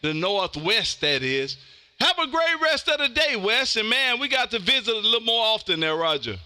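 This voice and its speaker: American male radio host, high-pitched and exuberant, slangy delivery, very lively intonation. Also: moderate pace, no echo. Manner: exuberant, loud, animated, slangy. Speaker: amateur radio operator hosting a live stream. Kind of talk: casual ham radio chat. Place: home radio room.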